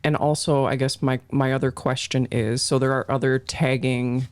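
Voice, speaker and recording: A man's voice: medium pitch, flat delivery, normal speed, clear, resonant tone, no room sound. A man hosting a show, asking questions conversationally with an encouraging manner. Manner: encouraging, frequent affirmations. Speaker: podcast host, organization president. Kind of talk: conversational interviewing.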